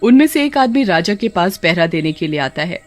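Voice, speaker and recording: Medium-pitched dramatic story narrator. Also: sing-song, a little fast. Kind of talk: scripted dramatic storytelling for animated horror tale.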